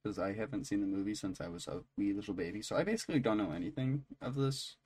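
A man's voice: on the low side, quick pace, darkish tone, slightly rough. A man co-hosting a podcast in a casual, joking conversational style. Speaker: podcast co-host.

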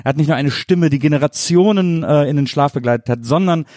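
A man's voice: fairly high pitch, quick pace, a little mellow, no room sound. Wordy male podcast host, dry sound. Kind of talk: casual interview, chatty introduction.

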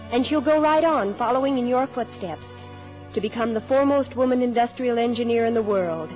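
An actress playing a sincere, devoted teenage daughter who also narrates a 1950s radio drama, with a wistful manner. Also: female voice, on the high side, a little fast, darkish tone, soft background music, natural voice, low-quality recording. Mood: proud, wistful.

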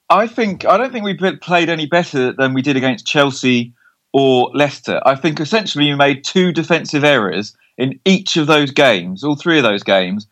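A man's voice: mid-range voice, fairly bright tone, muffled recording. A man with an English accent chatting casually about football as a podcast co-host, wordy and hesitant, often tagging statements with a question.

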